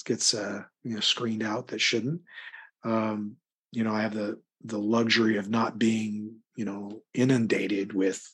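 A man's voice: fairly low pitch, fairly bright tone, husky. A middle-aged man, an author being interviewed, talking conversationally and telling anecdotes in a rambling way, often repeating words mid-sentence. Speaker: crime novelist being interviewed.

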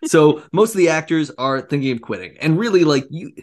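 A man co-hosting a casual film discussion, retelling things in an animated way with sarcastic asides and mock quotes. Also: a little high, a little fast. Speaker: podcast co-host.

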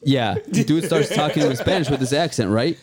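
A young man rapidly telling a story in a casual podcast chat with friends, laughing as he goes. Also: on the high side, expressive, a loud crowd. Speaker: podcast co-host.

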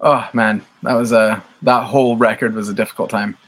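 A man's voice: lowish voice, level tone, fairly quick, a bit bright, breathy edge. A Scottish man in his thirties, a band member talking casually as an interview guest, rambling and self-deprecating with lots of fillers.

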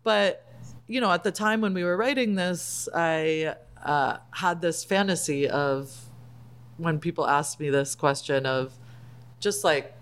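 A woman chef, Palestinian, talking casually on a podcast, animated and laughing often. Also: sits low, a little slow, smooth. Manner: animated, laughs often, acts out quoted lines. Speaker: guest, chef and cookbook author.

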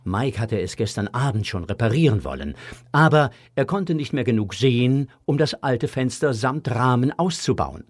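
A man's voice: medium pitch, sing-song, normal speed. A man narrating a scripted children's audio story as the storyteller.

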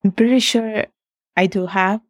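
A woman's voice: medium pitch, steady tone, unhurried, no room sound, studio quality. A young woman with an Italian accent, reflective in a casual interview, laughing often and restarting sentences. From Italy. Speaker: guest.

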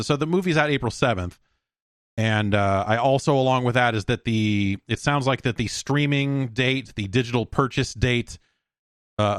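A middle-aged man hosting a podcast, giving casual solo commentary on news in a rambling, halting way with repeated words. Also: medium pitch, fairly bright tone, dry recording, studio quality. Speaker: podcast host.

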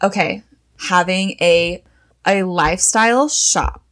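A woman in her twenties in casual chat, animated, often trailing off and laughing. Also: fairly low pitch, slow pace.

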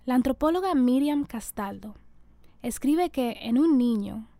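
Bright-voiced woman hosting a podcast. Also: high-pitched, lively intonation, unhurried, dry recording. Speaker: podcast host. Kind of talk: scripted narration and interview.

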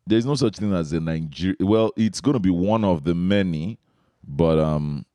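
A man's voice: low-pitched, moderate pace, dark tone, no echo. A man hosting a podcast, talking in a laid-back way and rambling through his questions in casual interview chat. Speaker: podcast host.

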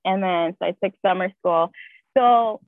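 A woman's voice: lowish voice, a little slow, dry recording. A woman whose first language is Spanish, a guest nurse chatting casually with lots of fillers. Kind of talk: casual conversation. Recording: tinny phone-like call audio, dry.

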